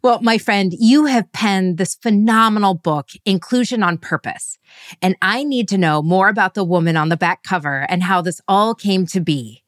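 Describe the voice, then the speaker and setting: A woman's voice: medium pitch, moderate pace, no room sound, studio quality. A woman hosting a podcast interview, effusive and full of praise for her guest. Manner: effusive, warmly gushing praise. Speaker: podcast host.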